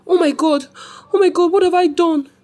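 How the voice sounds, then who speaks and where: A woman storyteller plays an anguished young mother from a folktale, terrified of her own dark craving. Her voice is pitched up, halting and pleading, with words repeated. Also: steady tone. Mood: horrified grief.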